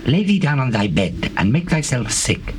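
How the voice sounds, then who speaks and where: A man's voice: medium pitch, sing-song, normal speed, close to an everyday voice. A man playing a subtle, scheming courtier in a Bible drama, with persuasive theatrical delivery of archaic lines. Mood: sly coaxing. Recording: faintly noisy old cassette tape.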